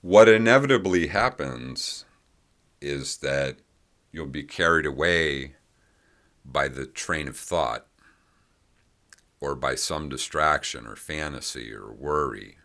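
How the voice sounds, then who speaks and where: Very deep-voiced man guiding meditation slowly. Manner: gentle, unhurried phrasing with long pauses. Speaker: meditation guide. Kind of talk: guided meditation, unscripted instruction.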